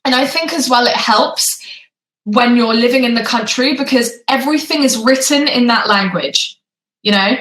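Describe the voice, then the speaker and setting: A woman's voice: on the high side, normal speed, a bit bright, roomy sound, low-quality recording. A woman English tutor chatting casually with a student on a video call, often saying "you know". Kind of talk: casual conversation.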